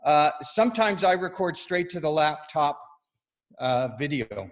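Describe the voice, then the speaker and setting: Middle-aged guy on a video call, loud and upbeat, slightly high voice, keeps asking "right?". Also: lively intonation, unhurried. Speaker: yoga studio owner presenting. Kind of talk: casual screen-share presentation.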